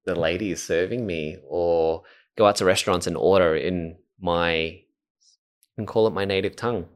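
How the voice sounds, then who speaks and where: A man's voice: deep voice, moderate pace. A man with an Australian accent, talking casually and reflectively in a thoughtful, earnest way. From Footscray, Australia. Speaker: podcast host.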